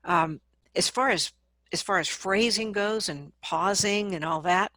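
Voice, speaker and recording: A woman speaking as a vocal coach in an interview, explaining advice conversationally and acting out examples in an animated manner. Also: mid-range voice, unhurried, no room sound.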